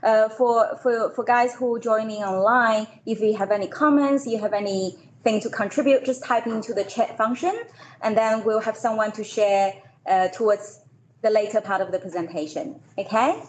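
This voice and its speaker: A woman's voice: on the high side, fairly even intonation, normal speed. A woman from China and Malaysia giving an informal business presentation to a meeting. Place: meeting room, hybrid event. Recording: echoey room, faint noise.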